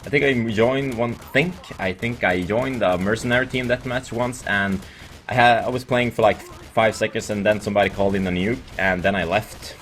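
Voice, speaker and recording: Male gamer, Swedish accent, on the low side, casual and jokey commentator, slightly noisy recording. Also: steady tone, normal speed, a bit bright. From Sweden. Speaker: gaming video creator.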